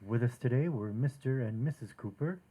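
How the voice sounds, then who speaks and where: A man's voice: medium pitch, brisk, dark tone, breathy edge, close to an everyday voice. A man playing a pompous, declamatory judge in an old-time radio comedy. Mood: official. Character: brisk theatrical male domestic-court radio judge.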